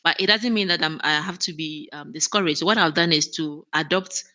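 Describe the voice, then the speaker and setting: A woman's voice: sits low, a little fast, sharp tone. A woman guest in an interview, speaking earnestly with frequent restarts and easy laughter. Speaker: guest, operations manager. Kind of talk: conversational interview.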